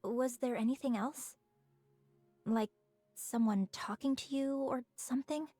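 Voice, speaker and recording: A high-voiced, probing researcher. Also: female voice, unhurried, warm tone, no echo. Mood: fascinated. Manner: curious, probing, poised. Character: inquisitive, composed female researcher with hidden menace. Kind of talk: dramatic game voice acting. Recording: music behind the voice.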